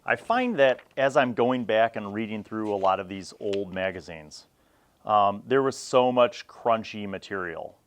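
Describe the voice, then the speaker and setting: Low-voiced man giving a casual review. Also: lively intonation, unhurried. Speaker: host of a vintage tabletop gaming review series. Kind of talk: casual unscripted review talk to viewers.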